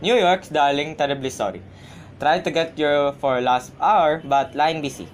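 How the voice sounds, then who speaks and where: A businesslike telegram clerk acted by a woman with a rather low voice, in an amateur radio play. The delivery is courteous and slightly hesitant, over faint noise. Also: normal speed, close to an everyday voice. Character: polite, businesslike telegram clerk reading messages. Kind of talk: amateur radio drama acting.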